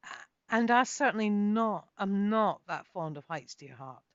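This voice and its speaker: A woman's voice: medium pitch, expressive, unhurried, pitched above the performer's own voice. Woman acting a nervous, hesitant woman adventurer, muffled sound. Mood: frightened. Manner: hesitant, stammering, protesting. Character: Kate, nervous short woman adventurer afraid of heights. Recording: muffled, echoey home recording.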